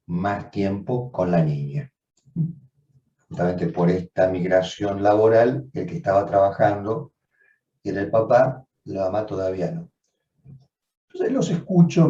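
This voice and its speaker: A man, a psychoanalyst, giving a measured, reflective seminar lecture, often rephrasing as he goes. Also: fairly low pitch, mellow. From Argentina. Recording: dull, roomy sound from far off.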